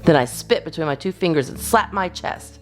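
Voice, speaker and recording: Animated woman reading a children's storybook. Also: sits low, brisk, soft background music. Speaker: storytime host and reader. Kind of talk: expressive children's storybook narration.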